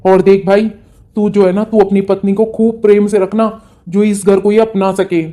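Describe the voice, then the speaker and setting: Woman voicing a mellow-toned family man. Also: mid-range voice, level tone, normal speed, lightly acted. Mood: earnest, approving. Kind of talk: family cartoon dialogue.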